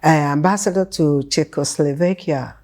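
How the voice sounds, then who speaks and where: A woman's voice: low-pitched, moderate pace, a little mellow. A woman in her seventies with a Ghanaian accent touched with British, speaking as an interview guest. She tells her life story conversationally, hesitating and repeating phrases for emphasis. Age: 70s.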